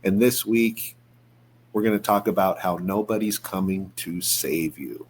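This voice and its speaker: Male podcast host, 40s, very deep voice, conversational delivery, faint noise behind him. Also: unhurried.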